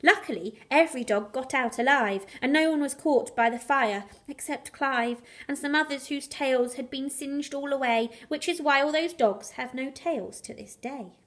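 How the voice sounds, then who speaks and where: High-voiced woman reading a storybook. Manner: animated, dramatic emphasis with measured rhyme. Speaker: primary school teacher reading a bedtime story. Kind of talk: expressive children's storybook reading.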